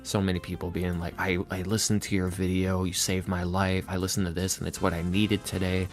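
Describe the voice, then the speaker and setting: Low voiced guy on a chill podcast, kinda halting and self-deprecating, soft music behind him. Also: flat delivery. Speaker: interview guest, ASMR roleplay creator. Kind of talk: casual podcast interview.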